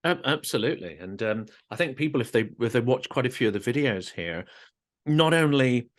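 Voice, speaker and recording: A man hosting an interview, adding measured points and prompting his guest. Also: medium pitch, animated. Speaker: podcast host. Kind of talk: conversational interview.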